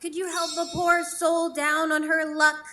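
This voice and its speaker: A woman's voice: very high-pitched, little pitch movement, a little slow, sharp tone, faint music behind, full character voice. A woman playing a cheeky, muddled, childlike fairy beggar in a live radio drama, with playful theatrical delivery. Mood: bright, cheeky.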